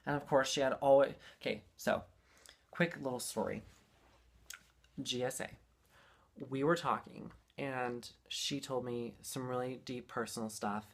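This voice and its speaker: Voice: mid-range voice, measured pace. A young adult speaker telling personal stories in a casual, heartfelt way, rambling and repeating phrases for emphasis.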